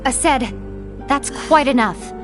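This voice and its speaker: Casting: poised, firm game stateswoman, somewhat high voice, music behind. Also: drawn-out words. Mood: stern. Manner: formal, poised. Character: composed, diplomatic, firm young woman leader. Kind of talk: animated game cutscene acting.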